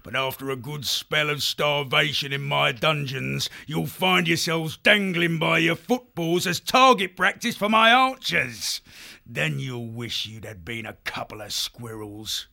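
A man's voice: fairly high pitch, vocal fry, exaggerated voice. An actor playing a cruel, menacing male foxwolf warlord in an audiobook drama, with a sneering, gloating delivery. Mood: controlled menace. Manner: slow, sneering, swinging between hushed and barked.

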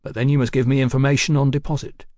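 Pompous, commanding gentleman played by a man. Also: medium pitch, level tone, fast, no echo, studio quality, close to an everyday voice. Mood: assured. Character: self-assured gentleman doctor, haughty and generous.

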